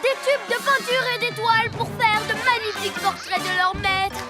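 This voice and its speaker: Very high-pitched boastful cartoon kid. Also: female voice, normal speed. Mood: gleeful scheming. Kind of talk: French cartoon dub acting. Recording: music behind the voice.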